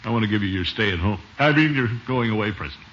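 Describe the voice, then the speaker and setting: An actor playing a pompous but soft-hearted middle-aged uncle in a 1950s radio comedy, blustering and hesitant by turns. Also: male voice, on the low side, expressive, fast. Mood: hesitant, worried. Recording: noisy, muffled old radio recording.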